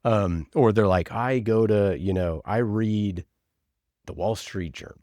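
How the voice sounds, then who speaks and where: A man's voice: fairly low pitch, a little slow, mellow, no room sound. A man talking casually as an interview guest and podcast producer, giving emphatic, opinionated advice and acting out imagined exchanges.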